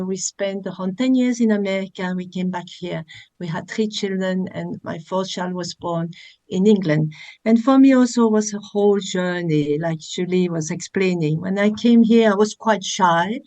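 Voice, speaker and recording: A woman's voice: mid-range voice, moderate pace, warm tone. Older woman with a Belgian French accent. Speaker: guest. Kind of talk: radio interview.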